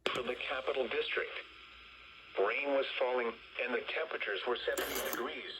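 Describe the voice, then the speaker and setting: A man's voice: mid-range voice, steady tone, brisk. An automated male voice formally reading a scripted weather bulletin, heard through a small radio speaker. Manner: formal, synthetic delivery. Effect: played through a small radio speaker. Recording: dull, noisy weather radio playback.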